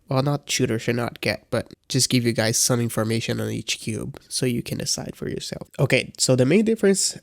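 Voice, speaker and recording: A man's voice: mid-range voice, no room sound, clean studio sound. A man with a congested voice giving a casual, hesitant review as a beginner, with frequent fillers and restarts. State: congested from a cold. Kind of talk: casual product review vlog.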